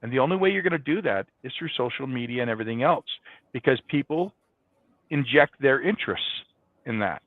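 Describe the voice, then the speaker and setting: A man's voice: mid-range voice, animated, fairly quick, no echo. A man giving conversational, explanatory answers as a guest in a business interview. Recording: dull, band-limited, dry sound.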